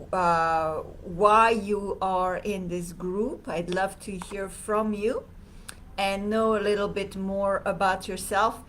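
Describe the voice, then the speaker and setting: Woman, mid pitch, unhurried pace, casual livestream host who pauses often. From Serbia. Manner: hesitant, many fillers, reads viewer comments.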